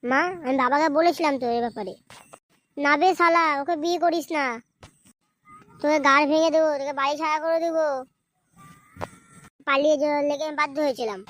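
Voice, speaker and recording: A man's voice: very high-pitched, fairly even intonation, fairly quick, long pauses, fairly bright tone, slight background noise. A man voicing a brash, irritable young village man in a comedy cartoon, foul-mouthed. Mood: aggrieved. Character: brash young village man, runaway son back with his bride. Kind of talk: comedy cartoon dubbing in colloquial village speech.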